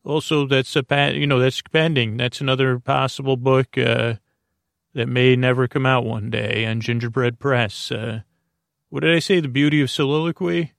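A man hosting a bedtime podcast, talking in slow meandering tangents full of fillers and restarts, with a creaky voice. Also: medium pitch, steady tone, no room sound, studio quality. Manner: meandering, filler-heavy, self-interrupting, soft. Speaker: podcast host. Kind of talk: rambling bedtime-story podcast talk.

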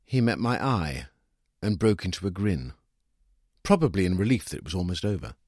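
A British man reading a literary short story in an expressive, wry first-person storytelling manner, with a husky voice. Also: deep voice, moderate pace, no room sound, studio quality. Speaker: story reader.

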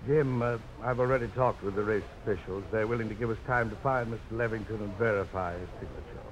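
An actor playing a blustery, fatherly general and gentleman duelist in a 1950s radio drama. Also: fairly low pitch, fast talker, a crowd in the background, natural voice. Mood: worried. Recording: noisy old radio broadcast.

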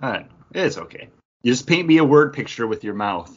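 A man's voice: medium pitch, big pitch swings, moderate pace, dull audio, slight background noise. A man from Michigan co-hosting a podcast in a casual, bantering style. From Michigan, United States. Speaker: podcast co-host.

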